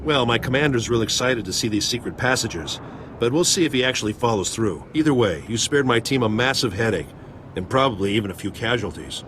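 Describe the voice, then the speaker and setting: An actor playing a casual, wry soldier in a video game. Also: male voice, mid-range voice, expressive, fairly quick, close to an everyday voice. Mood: wry, appreciative. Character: wry, casual military squad man. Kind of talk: video game character acting. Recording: clear urban ambient noise.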